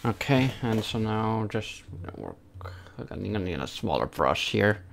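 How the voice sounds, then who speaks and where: A young man streams casually from a room at home. His voice sits fairly low with a strong fry. He talks to himself in halting bits as he works through a task. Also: big pitch swings, slight background noise. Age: young adult. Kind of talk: casual livestream muttering while doing digital art. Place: small room at home, indoors.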